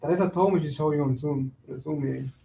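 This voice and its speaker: Casting: male actor as teasing neighborhood pal for a stage play reading, high-pitched voice, heard from afar. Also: fast, vocal fry, mellow, a little hiss or hum. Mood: teasing, friendly. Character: Tiago, teasing neighborhood buddy, building worker. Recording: echoey room, far from mic.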